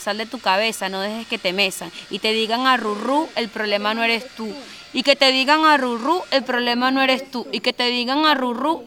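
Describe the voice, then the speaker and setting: Bright-voiced woman doing rhythmic spoken word. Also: on the high side, a little hiss or hum.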